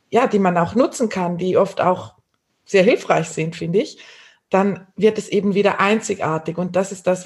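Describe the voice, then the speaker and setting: A woman's voice: medium pitch, a little mellow. Woman hosting a conversational podcast. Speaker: podcast host, coach and author.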